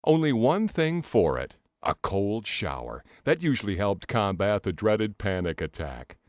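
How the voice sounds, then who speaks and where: Low-voiced man, wildly expressive narrator. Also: measured pace, no room sound, clean studio sound. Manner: theatrical, expressive delivery. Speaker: audiobook narrator. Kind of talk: dramatic first-person audiobook narration of a comic novel.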